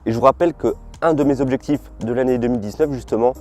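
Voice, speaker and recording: A man's voice: mid-range voice, rapid-fire, soft background music. A young man from France talking casually to camera outdoors in a city park, recorded on a basic camera mic. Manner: relaxed, chatty, informal slang. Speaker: vlogger. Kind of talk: casual vlog talk to camera. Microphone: camera mic, filmed without full gear.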